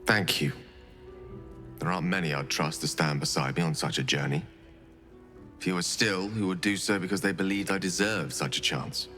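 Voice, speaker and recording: An actor playing an earnest, eloquent wizard, articulate and theatrical. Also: male voice, sits low, normal speed, bright, forceful tone, soft background music. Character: earnest young wizard, eloquent, self-reproaching. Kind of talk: video game voice acting.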